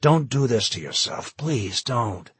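A man's voice: medium pitch, animated, a little slow, husky, no room sound, exaggerated voice. A man doing a weary former colonel. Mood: somber. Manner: quiet, apologetic. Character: weary middle-aged former colonel, now a professor.

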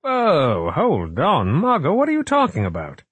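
A man portrays a dismissive younger male colleague in an audiobook. He sounds incredulous and curt, with a husky edge and sharply varied intonation. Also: on the high side, huge pitch swings, a little slow, no room sound, pitched above the performer's own voice, full character voice. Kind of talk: audiobook character acting.

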